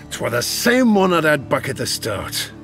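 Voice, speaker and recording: A man's voice: fairly high pitch, gravelly, fairly bright tone, exaggerated voice. A man playing a ruined sailor and gambler, telling his rueful tale for an audio drama. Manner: slow, rueful storytelling with colloquial dialect. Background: music.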